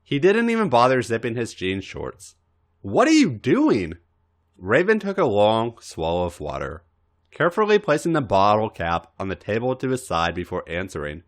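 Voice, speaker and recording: Male narrator, lowish voice, hugely varied intonation, dramatized podcast story reading. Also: very animated, normal speed. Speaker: podcast host reading fan fiction.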